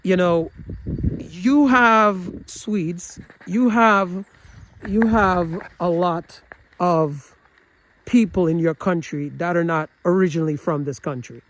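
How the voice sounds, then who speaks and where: A man's voice: sits high, takes it slowly, a bit bright, a little hiss or hum. A man giving an opinionated, casual vlog monologue, earnest and rambling, often restarting his sentences with fillers. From United States. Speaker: travel vlogger.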